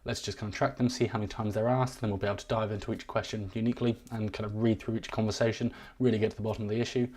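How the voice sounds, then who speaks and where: A man giving a live-streamed software walkthrough, rambling and self-deprecating, his voice wearing thin. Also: fairly low pitch, level tone, very fast, few pauses. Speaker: software demo presenter.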